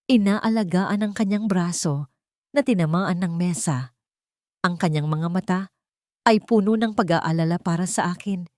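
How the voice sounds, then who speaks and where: A woman's voice: fairly low pitch, darkish tone, dry recording, clean studio sound. A woman narrating a scripted dramatic story.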